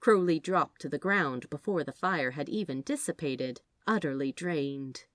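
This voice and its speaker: Lowish-voiced woman narrating a story. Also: expressive, unhurried, no room sound. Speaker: fan fiction narrator.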